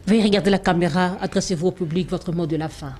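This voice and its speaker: A woman's voice: fairly low pitch, fairly even intonation, fast, a little mellow. Direct woman interviewer. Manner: direct, pressing questions. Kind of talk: interview questions.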